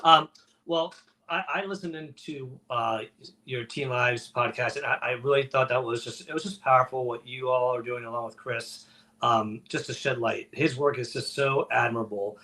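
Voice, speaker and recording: A man's voice: mid-range voice, normal speed, pushed and bright, poor audio. A man hosting an online networking livestream in casual, unscripted chat, joking and rambling loosely as he goes. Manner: jokey, chatty, loosely rambling. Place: indoor room on a video livestream.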